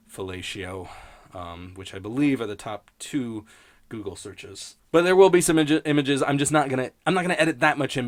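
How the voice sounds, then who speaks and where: A man hosting a podcast in casual, improvised rambling, with a sardonic, profane and self-mocking way of talking. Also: medium pitch. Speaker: podcast host.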